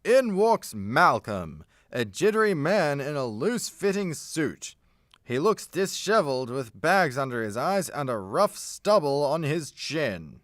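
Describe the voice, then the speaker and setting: Guy narrating a radio comedy, lively pitch swings, dry studio-like sound. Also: measured pace, no echo.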